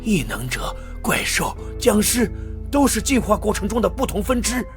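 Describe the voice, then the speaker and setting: High, animated fanatical captive scientist. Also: male voice, moderate pace, faint music behind. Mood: ominous, persuasive. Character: captive male scientist, fanatic about evolving humanity. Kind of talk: animated motion-comic drama dubbing.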